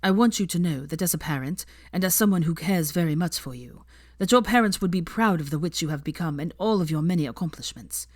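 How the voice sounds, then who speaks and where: Woman narrator reading fanfic, low voice, calm and measured, dry close mic. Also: no echo. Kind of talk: audiobook-style fanfiction reading.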